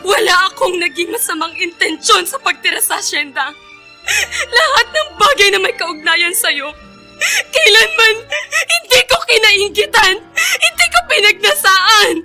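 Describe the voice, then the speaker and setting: A woman playing a gentle, humble, polite teenage heroine in a Filipino radio drama. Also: extremely high pitch, expressive, measured pace, over music, heavily acted. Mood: tearful, anguished. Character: gentle, humble, polite seventeen-year-old girl, wronged heroine.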